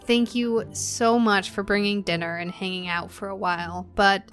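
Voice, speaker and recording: A woman's voice: mid-range voice, fairly even intonation, normal speed, a bit bright, faint music behind, close to an everyday voice. A woman playing a guarded, weary young woman in a naturalistic audio drama. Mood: uneasy, reassuring.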